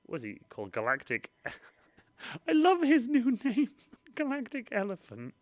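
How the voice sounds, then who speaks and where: A man's voice: very high voice, huge pitch swings, measured pace. A young man hosting a casual live stream, chatty and self-mocking, tripping over names and laughing often. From Wales.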